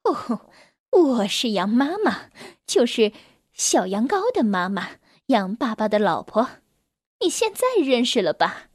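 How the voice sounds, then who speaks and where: A woman's voice: on the high side, very animated, a little slow, husky, no echo, clean studio sound, voice pushed down, heavily acted. A woman voicing a protective mother sheep who is indignant and demanding.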